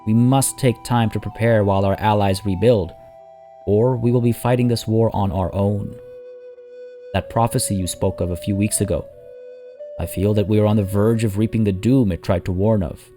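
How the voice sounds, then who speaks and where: A man voicing a warlord's gentle, earnest beloved in a fantasy story, giving counsel in a soft, formal, imploring way. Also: fairly low pitch, fairly even intonation, moderate pace, faint music behind, close to an everyday voice. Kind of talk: fantasy audio story character.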